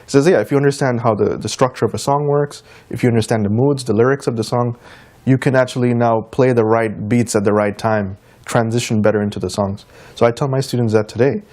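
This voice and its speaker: A man's voice: medium pitch, steady tone, fast talker, a little mellow. Man chatting informally in an interview. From United States, of Trinidadian and Guyanese descent. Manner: informal, anecdotal, quoting people in conversation. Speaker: interview guest, tabla player and teacher. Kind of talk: conversational interview answers.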